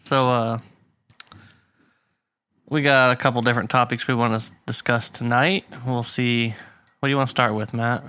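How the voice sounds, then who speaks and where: Chatty man with a bright, dry voice. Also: mid-range voice, fairly even intonation, normal speed, pauses often, smooth, no room sound. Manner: rambling, laughs often, many restarts. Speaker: podcast co-host. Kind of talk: casual chat. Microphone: pop filter.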